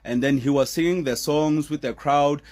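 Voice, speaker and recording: Animated man giving casual commentary. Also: fairly high pitch, normal speed. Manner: animated, laughing, with many fillers. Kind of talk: casual commentary on a news story.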